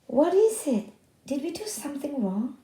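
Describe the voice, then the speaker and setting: Woman plays eager young storybook boy, earnest and pleading, very soft timbre in a roomy space. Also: sits high, big pitch swings, normal speed, voice pushed up. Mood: worried.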